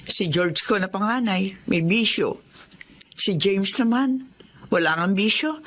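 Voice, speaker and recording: Older widowed mom with a Filipino accent, tired and pleading, mid-pitched; actress in a radio drama. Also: female voice, takes it slowly, fairly bright tone. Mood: worried. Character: worried older widowed mother, egg vendor. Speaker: widowed mother of grown sons.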